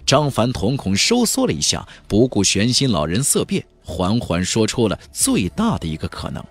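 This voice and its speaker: A man narrating a fantasy audiobook as a dramatic, expressive storyteller. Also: fairly low pitch, moderate pace, creaky, soft background music.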